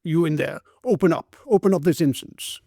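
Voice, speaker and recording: A man voicing a stern, gruff male police constable who gives commands. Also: fairly high pitch, fast, darkish tone, dry recording, studio quality, natural voice. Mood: commanding.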